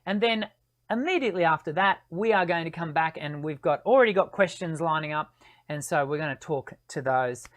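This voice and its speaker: A woman's voice: deep voice, a little fast. A woman, a singing teacher chatting casually to her live-stream audience and often restarting her phrases.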